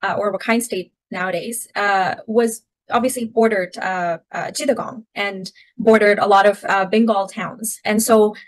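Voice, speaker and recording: A woman's voice: mid-range voice, steady tone, normal speed, few pauses. A young woman from Myanmar, raised in Thailand, speaking as an activist guest in a podcast interview, reflective and often restarting her phrases. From Myanmar (Rohingya from Arakan), raised in Thailand. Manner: reflective, many restarts and "you know". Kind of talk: personal storytelling in an interview.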